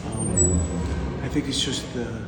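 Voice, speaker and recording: A male physician slowly sharing reflections from a medical mission, pausing often between phrases. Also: fairly low pitch, loud music behind the voice. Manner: slow, halting, with long pauses. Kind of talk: reflective group recap. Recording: echoey room from far off, loud room noise.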